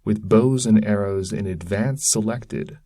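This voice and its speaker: Slow, mellow man reading epic poetry. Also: fairly low pitch. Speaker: reader. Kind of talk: dramatic poetry reading, scripted narration.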